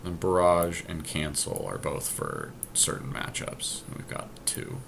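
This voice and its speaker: Low voiced guy muttering thru his card game choices out loud, bit of noise behind him. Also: very animated, a little slow, vocal fry. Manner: deliberating, counting and reasoning aloud. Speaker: podcast host drafting a card game deck. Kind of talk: casual thinking-aloud game commentary.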